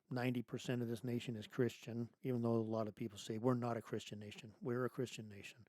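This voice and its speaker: Guy ranting on his own show, lots of rhetorical questions, voice going a bit hoarse, dark mellow tone, dead dry. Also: mid-range voice, dry recording. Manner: emphatic, rhetorical questions, many restarts and fillers. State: losing his voice. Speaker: podcast host. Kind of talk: political commentary monologue.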